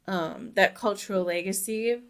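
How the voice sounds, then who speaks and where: A woman's voice: medium pitch, moderate pace, fairly bright tone. A young adult woman co-hosting a casual podcast discussion, talking emphatically and dropping "like" in very often. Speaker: podcast co-host.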